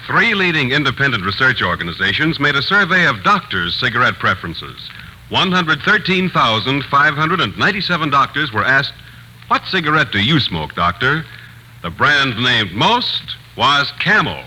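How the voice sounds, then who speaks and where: A man's voice: mid-range voice, sing-song, moderate pace, sharp tone. A man reading a scripted cigarette-style advertisement for 1940s radio. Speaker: commercial announcer. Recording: noisy 1940s radio recording.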